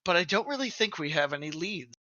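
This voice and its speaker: Bright, higher-voiced male player, dry mic. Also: expressive, quick pace, no room sound, lo-fi sound. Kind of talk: casual chat during a tabletop game.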